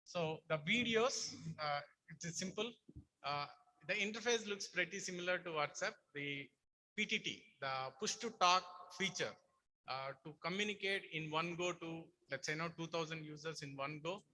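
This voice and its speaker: An Indian-accented man presents and explains a product to an audience. His voice sits fairly high and sounds bright and forceful, as he lays things out as a presenter taking questions. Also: normal speed, poor audio.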